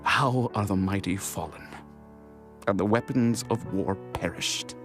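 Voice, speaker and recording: A man playing a grieving young king and warrior in a dramatized scripture reading, reciting in a solemn, measured way. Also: lowish voice, music in the background, lightly acted. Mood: lamenting.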